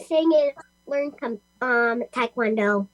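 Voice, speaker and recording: A woman's voice: on the high side, takes it slowly, faint music behind. A girl, a child actress, talking casually in a group conversation. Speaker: young actress. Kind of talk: casual chat.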